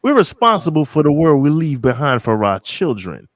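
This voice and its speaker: A middle-aged man from New York, talking casually on a podcast, animated and emphatic, repeating himself and swearing freely. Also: a little high, moderate pace, no room sound, studio quality. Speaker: podcast co-host.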